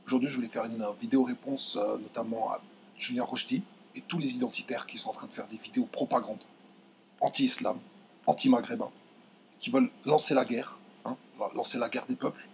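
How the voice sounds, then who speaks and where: Heated young guy from france venting to camera, trips over words, goes shrill when he's mad, bit of background hiss. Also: steady tone, brisk, gravelly. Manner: indignant, voice jumps high when outraged, many restarts. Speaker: video commentator. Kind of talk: heated direct-to-camera rant.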